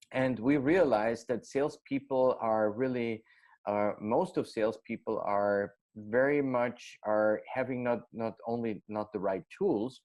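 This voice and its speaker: Austrian-accented man, halting speech. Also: medium pitch, a little mellow. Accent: Austrian German. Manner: hesitant, many fillers and restarts. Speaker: guest. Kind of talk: casual interview.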